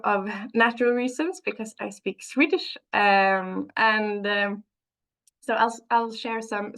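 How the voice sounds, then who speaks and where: Woman researcher, webinar panelist, vocal fry, halting delivery with restarts, video-call audio. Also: mid-range voice. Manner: halting, frequent restarts while walking through slides. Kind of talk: webinar slide presentation.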